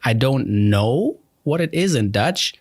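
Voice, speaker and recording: A man's voice: mid-range voice, very animated, unhurried, dry recording, clean studio sound. A German man hosting a podcast, talking casually in a rambling, self-deprecating way with many restarts. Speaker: podcast host. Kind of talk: casual conversation.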